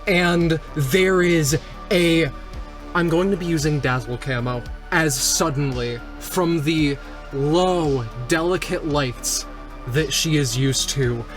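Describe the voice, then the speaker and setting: Male tabletop player, slightly high voice, unhurried and descriptive, music underneath. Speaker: roleplaying game player. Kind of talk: tabletop play, narrating own character's actions. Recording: music playing behind. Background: background music.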